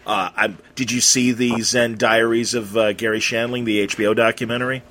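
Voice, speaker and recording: A man hosting a casual conversational interview, feeling his way through questions with halting, circling phrasing. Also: medium pitch, little pitch movement, sharp tone, slight background noise. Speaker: interviewer, host.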